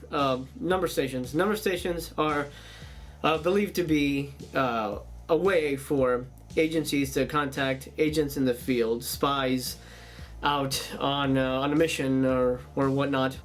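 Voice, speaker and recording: Higher-pitched man hosting a rambling web show. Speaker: YouTube show host. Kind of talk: casual monologue to camera.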